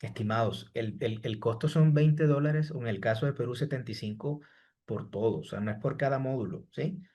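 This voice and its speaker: A man running a live online course, reading out students' chat questions and answering each one by name. His voice sits at an ordinary middle pitch, and he checks in after almost every sentence. He talks in a patient, repetitive way. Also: moderate pace, warm tone. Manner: repeats "¿sí?" and "estimados" after each point.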